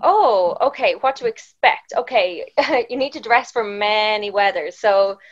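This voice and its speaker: A woman's voice: medium pitch, a little slow. Chatty young Irish woman on a video call. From Ireland. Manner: chatty, laughs often. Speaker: guest. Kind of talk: casual video-call chat. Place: indoors in front of a green wall. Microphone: earphone mic on a video call. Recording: dull lo-fi video call audio.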